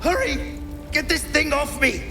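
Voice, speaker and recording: An actor playing a desperate trapped husband in a fantasy video game, coughing and out of breath. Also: male voice, very high voice, sing-song, a little slow, music in the background, exaggerated voice. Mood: panicked.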